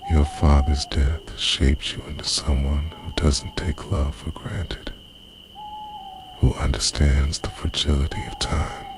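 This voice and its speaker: A man's voice: a bass voice, background noise, full character voice. A man playing an obsessively devoted time-travelling boyfriend in an intimate, earnest, half-whispered ASMR roleplay. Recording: night crickets and owl behind voice. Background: night insects, crickets and hooting owl.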